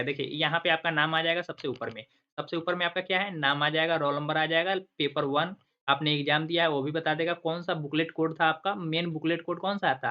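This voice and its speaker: A man's voice: a little high, sharp tone. Male teacher repeating every step, lo-fi. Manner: asks himself questions and repeats the answers like a teacher. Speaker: exam coaching teacher and channel host. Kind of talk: screen-recorded tutorial explaining step by step. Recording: dull lo-fi recording.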